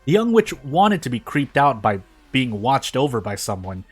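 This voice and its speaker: Man, mid-pitched voice, informal story narration, occasional stumbles and rereads, faint background music. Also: sing-song, normal speed, husky. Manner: stumbles over words and rereads phrases. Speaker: fan fiction reader on a gaming video. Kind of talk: informal read-aloud of a story.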